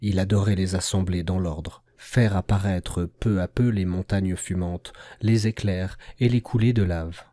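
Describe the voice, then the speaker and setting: A man's voice: sits low, steady tone, a little slow, few pauses, dark tone. A man reading a scripted children's tale as a storyteller.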